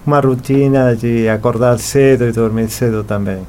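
A man's voice: mid-range voice, steady tone, normal speed, a little mellow, slight background noise. A middle-aged man from Argentina, a native Spanish speaker with an Argentine accent, answering as an artist in a conversational interview. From Buenos Aires, Argentina. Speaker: interview guest, artist and sculptor.

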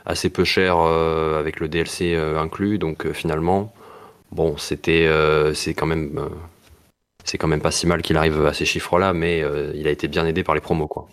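A man speaking casually as a podcast panelist, with hesitant, halting phrasing. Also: very deep voice, flat delivery. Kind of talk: casual news discussion.

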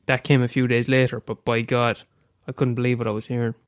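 A man's voice: mid-range voice, little pitch movement, fairly quick, clean tone, dry recording, clean studio sound. A young Irish man with a head cold, reading aloud a short story he wrote, after a hesitant casual introduction. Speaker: amateur storyteller.

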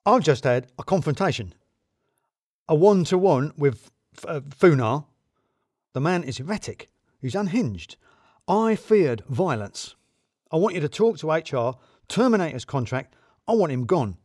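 A man's voice: on the high side, sing-song, measured pace, pauses often, darkish tone, no echo, studio quality, pitched above the performer's own voice. A man voicing a blustering, panicky office boss in an audio story, barking flustered, stumbling officialese. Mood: flustered, commanding.

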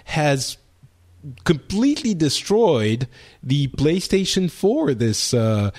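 Chatty man with an animated voice. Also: a little high, takes it slowly. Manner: rambling, lots of fillers and restarts. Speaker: podcast co-host. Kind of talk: casual gaming chat.

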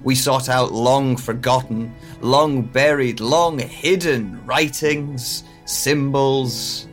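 A man playing a haunted, guilt-ridden scholar with lost memories in an audio drama monologue, speaking in halting, deliberate phrases. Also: mid-range voice, soft background music, close to an everyday voice. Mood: somber, reflective.

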